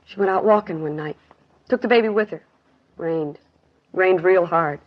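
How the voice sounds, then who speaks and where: A woman's voice: lowish voice, normal speed, natural voice. Actress as weary woman in a dull vintage radio drama. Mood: sorrowful. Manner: plain clipped sentences, colloquial grammar. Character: weary working-class woman, grieving witness telling a friend's tragedy. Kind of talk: 1950s radio drama acting. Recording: dull 1950s radio recording.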